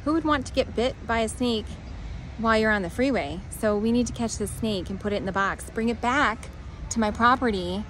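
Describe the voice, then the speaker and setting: A woman's voice: fairly high pitch, fairly quick, fairly bright tone, low-quality recording. Woman in her forties, flustered rambling, phone at arm's length, parking lot, faint traffic noise. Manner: flustered, rambling storytelling with frequent sighs. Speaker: vlogger. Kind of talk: casual selfie vlog.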